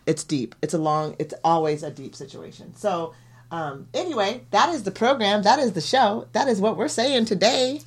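A woman's voice: medium pitch, lively intonation, normal speed, slightly rough. A woman hosting a podcast in casual chat, chatty and reflective, laughing often. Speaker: podcast host.

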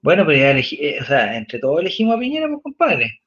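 A Chilean man with a notably high voice talks in a loose, joking, slang-filled way as he hosts a casual chat. The recording sounds dull and lo-fi. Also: huge pitch swings, fast talker, a bit bright. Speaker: podcast host. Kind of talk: casual chat reading viewer comments.

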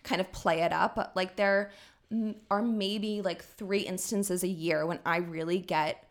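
A woman co-hosting a casual podcast, telling a story in an animated, chatty way with casual swearing. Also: fairly low pitch, measured pace. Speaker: podcast co-host.

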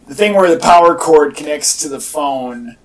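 A man's voice: a little high, lively intonation, normal speed. A man hosting a podcast in casual, rambling chat with a wry, joking manner. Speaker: podcast host. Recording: distant mic, echoey in parts.

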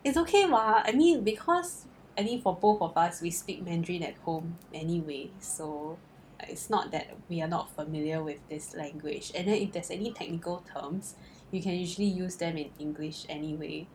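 A woman's voice: fairly low pitch, normal speed, slight background noise, rough recording. A young adult woman with a Singaporean accent, co-hosting a podcast in casual chat, teasing and laughing often. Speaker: podcast co-host.

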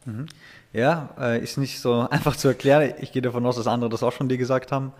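Relaxed man, hesitant interview guest. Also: mid-range voice, fast. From Austria. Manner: hesitant, many filler sounds, laughs easily. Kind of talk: casual interview talk.

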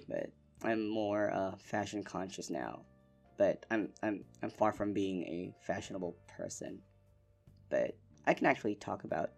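A young man from the Philippines hosting a casual solo podcast, relaxed and rambling with lots of fillers. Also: deep voice, gravelly. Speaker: podcast host.

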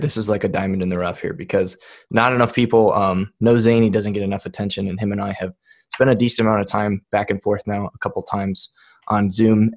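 A male podcast host with a fairly low voice. He talks to his guest in an upbeat, informal way, full of casual slang and filler words. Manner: upbeat, loose and chatty with likes and dudes. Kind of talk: casual interview talk.

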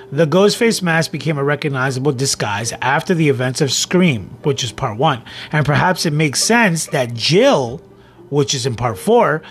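A man hosting a casual film commentary, partly reading from notes, in a rambling way with repeated words and phrases. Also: a little high. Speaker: host of a film commentary show.

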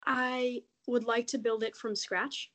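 A woman from Mexico, a podcast guest, talking in a relaxed, chatty way with frequent ums. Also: a little high, takes it slowly. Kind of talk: casual interview conversation.

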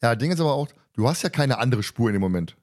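A man's voice: mid-range voice, lively intonation, quick pace, a little mellow. A man co-hosting a casual discussion, talking in a colloquial, teasing way. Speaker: podcast co-host. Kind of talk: casual discussion of an audio drama.

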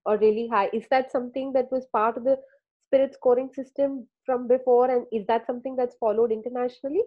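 A woman's voice: on the high side, steady tone, fast, no echo, phone-quality sound. Hesitant woman co-host on a call. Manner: hesitant questioner with many fillers. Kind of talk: casual interview over a call.

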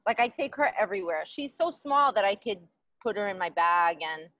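30s woman from queens, designer guest on a podcast, laid back, says like a lot, middle pitch. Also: brisk, dry recording, muffled recording. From Queens, New York. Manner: chatty, relaxed, peppered with "like". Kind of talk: casual interview.

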